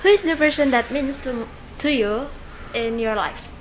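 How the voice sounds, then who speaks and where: A woman's voice: high voice, moderate pace, a little hiss or hum, low-quality recording. A woman interviewing a guest, asking short questions and reacting briefly. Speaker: student interviewer. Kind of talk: casual interview.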